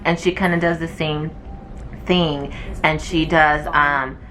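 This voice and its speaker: Low-voiced young woman chatting to camera. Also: steady tone, slow, a bit bright, a little hiss or hum, poor audio. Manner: chatty and rambling, many fillers. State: losing her voice. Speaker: nursing student vlogger. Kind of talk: casual chat to camera.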